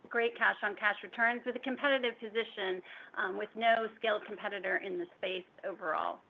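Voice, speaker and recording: Woman executive with creaky voice, slightly muffled. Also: medium pitch. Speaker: company finance executive on an earnings call. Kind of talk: prepared-sounding business Q&A answers.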